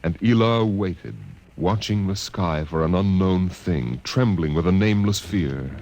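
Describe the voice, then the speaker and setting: A man's voice: low voice, a little slow, darkish tone. A man working as narrator, reading scripted science fiction in a dramatic storytelling style.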